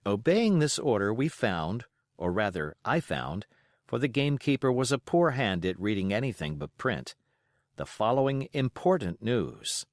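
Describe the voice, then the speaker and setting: A man narrating a storybook in a measured, expressive way, reading from a script. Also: lowish voice, no echo, clean studio sound. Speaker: audiobook narrator.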